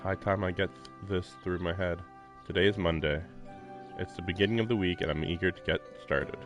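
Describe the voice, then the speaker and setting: A man's voice: deep voice, fairly even intonation, brisk. A man live streaming a visual novel, reading its text aloud with casual, informal commentary. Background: faint game music.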